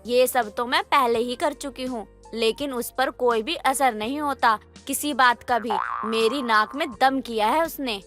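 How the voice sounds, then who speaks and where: Woman playing middle-aged mother-in-law, scolding, complaining, slightly high voice, cartoon story, background music. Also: unhurried, hardly any pauses, a bit bright. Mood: exasperated. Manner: complaining, nagging. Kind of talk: Hindi moral story cartoon voice acting. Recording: music under the voice.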